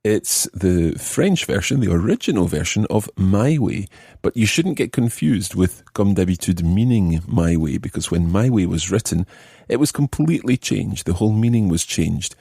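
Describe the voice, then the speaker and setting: A man presents a language lesson in a low, husky voice with lively intonation. He explains phrases step by step and addresses the listener directly as a teacher. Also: unhurried, no room sound, studio quality. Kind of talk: clear scripted teaching narration, switching between languages.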